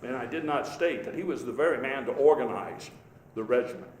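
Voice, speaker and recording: An actor playing a vigorous, patriotic elder statesman giving a folksy, emphatic, halting speech in a live historical reenactment. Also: mid-range voice, lively intonation, darkish tone, close to an everyday voice. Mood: proud, emphatic. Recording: echoey live hall, faint background noise.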